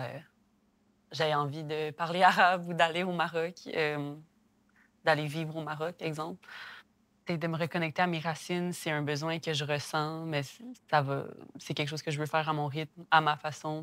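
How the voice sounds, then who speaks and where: A woman with a Québécois accent sharing her experience reflectively, hesitant with many pauses and restarts. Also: low voice, fairly bright tone, no room sound. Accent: Québécois French. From Quebec. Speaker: discussion participant.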